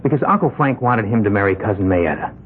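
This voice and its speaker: A timid, strangely calm young man accused of murder, played by an actor in a 1970s radio mystery. He answers haltingly, and his voice swings up and down in pitch. The sound is thin and lo-fi, like an old broadcast with a faint hiss. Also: natural voice. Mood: earnest. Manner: meek, hesitant, oddly calm about his fate. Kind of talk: 1970s radio drama acting.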